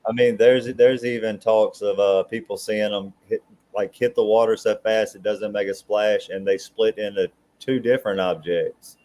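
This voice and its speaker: A man's voice: fairly low pitch, fairly even intonation, normal speed, warm tone. A man in casual podcast chat who often repeats and restarts his words.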